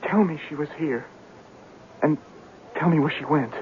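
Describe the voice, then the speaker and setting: A man's voice: a little high, unhurried, slight background noise, natural voice. An actor playing a distraught, obsessed man searching for his lost sweetheart, fervent and repetitive, in a 1970s radio drama. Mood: crushed, resigned. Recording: muffled narrow-band old radio broadcast.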